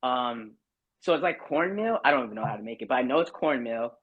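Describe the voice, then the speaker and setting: A young man from Barbados talks casually as a podcast guest, rambling easily with plenty of "um"s and restarts. His pitch sits in a typical middle range. The audio is a little muffled and lo-fi. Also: fairly quick. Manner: relaxed, rambling with many fillers. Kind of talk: casual chat.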